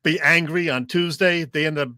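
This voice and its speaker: A man's voice: on the high side, steady tone, normal speed, pushed and bright, no room sound, clean studio sound. A man hosting a sports show with casual talk and questions for a guest.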